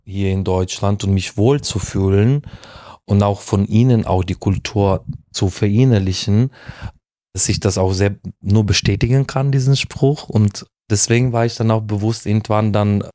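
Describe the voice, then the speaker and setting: Deep-voiced man. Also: normal speed, warm tone, dry recording, studio quality. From Ukraine. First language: Russian. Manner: thoughtful, searching for words with many restarts. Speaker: podcast guest, founder. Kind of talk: casual conversation.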